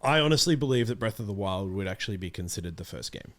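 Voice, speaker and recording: A man's voice: lowish voice, expressive, fast. A man chatting casually about video games as an interview guest, often circling back and correcting himself as he explains. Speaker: interview guest, amateur voice actor. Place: small indoor streaming room. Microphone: close studio microphone.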